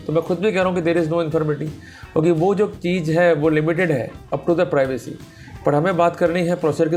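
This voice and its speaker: Man, Indian accent, fairly high voice, law lecturer, emphatic, rhetorical questions, direct address, music behind. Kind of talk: explanatory legal lecture mixing Hindi and English.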